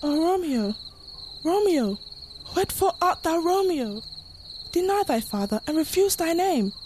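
Passionate young girl in love, played by a young woman, high-pitched, earnest Shakespearean verse, audio play. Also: big pitch swings, measured pace, a little mellow, a little hiss or hum, exaggerated voice. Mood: longing. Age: young adult. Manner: earnest, poetic verse delivery. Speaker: student actor. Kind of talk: Shakespearean audio drama acting.

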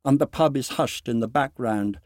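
A man narrating a short story dramatically, with deliberate phrasing and dramatic pauses. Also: medium pitch, no room sound, clean studio sound.

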